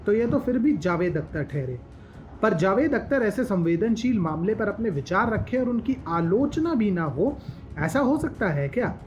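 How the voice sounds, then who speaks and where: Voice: medium pitch, a little mellow. A news commentary narrator of unclear sex reads a scripted voice-over in an emphatic, rhetorical manner, in a dull lo-fi recording. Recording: dull lo-fi audio over music bed. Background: background music.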